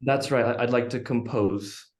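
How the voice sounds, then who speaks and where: A young man from Utah speaking as a guest in a casual interview. He answers thoughtfully and at a measured pace. His voice sits in the middle of the range and carries a noticeable room echo. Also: medium pitch, steady tone. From Utah, United States. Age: young adult. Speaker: podcast guest. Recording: echoey room.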